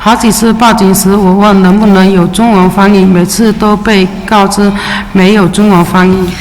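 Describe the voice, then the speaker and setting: A Chinese immigrant woman, a native Mandarin speaker, reading her testimony at a public hearing in a steady, measured way with brief hesitations. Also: mid-range voice, faint music behind. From China. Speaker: witness testifying at a city council hearing. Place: large public hearing chamber. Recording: echoey hearing room with faint ambience.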